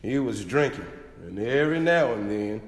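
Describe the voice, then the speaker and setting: A man playing a young former slave giving eyewitness testimony in an animated, earnest dramatic reading. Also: mid-range voice, normal speed, close to an everyday voice. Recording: echoey hall with long reverb.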